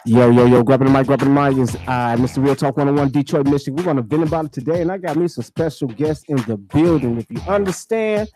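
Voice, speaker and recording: A man's voice: medium pitch, fast talker, few pauses, mellow, soft background music. An American man hosting a casual radio interview, talking in slangy, hyped-up phrases with lots of repetition. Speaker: radio show host.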